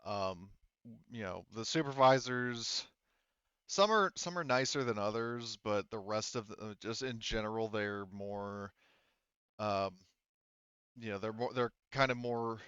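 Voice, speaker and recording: A man plays a candid, cautious working woman from an engineering crew in a sci-fi tabletop game. The voice is low and smooth, full of informal hedging, on a slightly dull recording. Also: measured pace, fairly bright tone, dry recording. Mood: candid, unsure.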